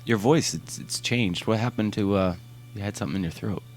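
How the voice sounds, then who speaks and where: A man's voice: fairly low pitch, fairly quick, a little mellow, faint music behind. A man from Ohio hosting a casual interview, easygoing and stumbling a little as he reads out listener questions.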